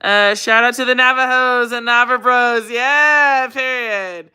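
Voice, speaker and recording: A woman's voice: a little high, measured pace, sharp tone, smooth. An American woman from Colorado hosting a casual livestream, chatty and rambling, repeating phrases for emphasis as she answers viewers. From Colorado, United States. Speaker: livestream host.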